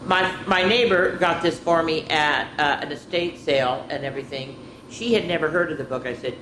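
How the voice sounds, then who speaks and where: A woman hosting a children's story time, chatting to young listeners and reading aloud in an interactive, sing-song way. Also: deep voice. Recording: roomy echo with background noise.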